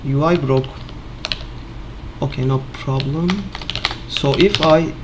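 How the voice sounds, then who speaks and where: A man casually thinking aloud in an office while fixing software, halting with many filler sounds. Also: mid-range voice, fairly even intonation, noisy background. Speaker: Linux developer screencasting.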